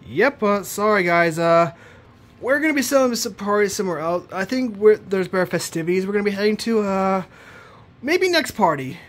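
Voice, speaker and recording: A man's voice: high voice, lively intonation. A young man voicing his plush toys in an improvised cartoon, rambling with lots of restarts, recorded on a handheld phone in a bedroom. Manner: rambling, halting, many restarts. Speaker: puppeteer voicing all the plush characters.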